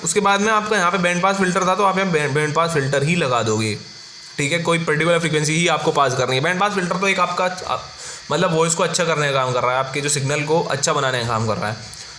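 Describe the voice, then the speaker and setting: An Indian man gives an instructional lecture in a bright, high voice. He explains things step by step like an engineering tutor, informally checking in as he goes. The recording is roomy and echoey. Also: fast talker. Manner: informal, instructional, frequent check-words like "right" and "okay". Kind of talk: exam-focused lecture explaining block diagrams. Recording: roomy echo with faint background noise.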